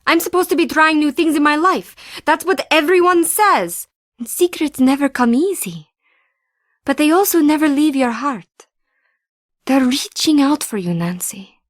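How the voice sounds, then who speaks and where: A woman's voice: high voice, animated, measured pace, dry recording, clean studio sound. An actress playing a timid, defensive woman artist and forger in a video game, with intense, theatrical delivery in clipped phrases. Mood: earnest, reflective. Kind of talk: scripted video game character dialogue.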